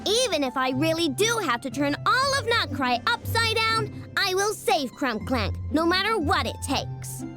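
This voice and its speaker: A young girl inventor with an extremely high, girlish voice. She is earnest and stubborn, with lively intonation in game-cutscene style and clear music behind. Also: a little slow, few pauses, heavily acted. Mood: determined. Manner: earnest, determined. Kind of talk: English video game cutscene voice acting.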